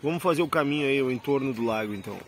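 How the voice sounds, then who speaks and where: A man's voice: medium pitch, racing, slight background noise. A man, chatting casually to viewers in a vlog and pointing things out as he goes. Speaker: rideshare driver and travel vlogger. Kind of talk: casual walking-tour vlog.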